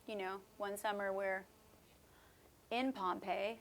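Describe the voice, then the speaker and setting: A woman's voice: mid-range voice, little pitch movement, slow pace, a little hiss or hum. A woman from Canada's West Coast, a musician answering interview questions in a thoughtful, chatty way with many fillers. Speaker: musician guest. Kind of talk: relaxed conversational interview answers.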